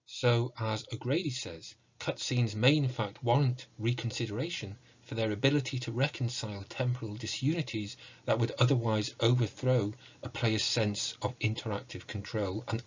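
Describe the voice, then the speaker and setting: A man giving an academic presentation as a lecturer, measured and scholarly in his delivery. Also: on the low side, slightly rough, dull audio. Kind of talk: academic presentation, scripted narration.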